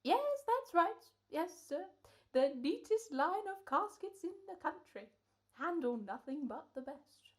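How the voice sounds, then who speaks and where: A woman's voice: high-pitched, expressive, a little slow. A woman playing a polite, rambling old coffin salesman in a radio comedy-horror play. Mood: polite salesmanship. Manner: rambling, courteous, folksy patter.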